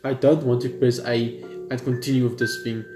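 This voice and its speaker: Young male let's player, halting narration, echoey room, clear background music. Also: mid-range voice, level tone. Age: young adult. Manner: halting read-aloud, stumbling over words. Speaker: gaming video host. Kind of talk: let's play, reading visual novel text aloud. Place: indoor room at home. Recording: roomy echo under game music.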